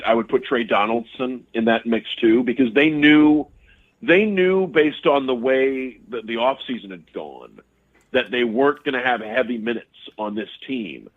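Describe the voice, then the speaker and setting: A man breaks down a game in casual sports talk, speaking quickly and often repeating words as he works through a thought. His voice comes through a narrow, phone-like line. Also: mid-range voice. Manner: fast, repeats words while thinking. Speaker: radio sports broadcaster, guest.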